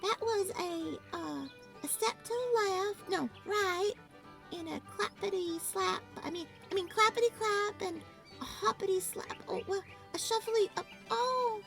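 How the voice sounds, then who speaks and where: A woman's voice: a little slow, vocal fry, fairly bright tone, faint music behind, full character voice. A woman voicing a flustered young schoolgirl cowgirl in a storybook, stammering and unsure. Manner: stammering, high-pitched, unsure.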